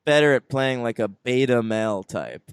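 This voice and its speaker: A man's voice: mid-range voice, normal speed, clear, resonant tone, no echo. A man co-hosting a film podcast, chatting casually and joking as he reviews movies. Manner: joking, chatty, laughs often. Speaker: podcast co-host.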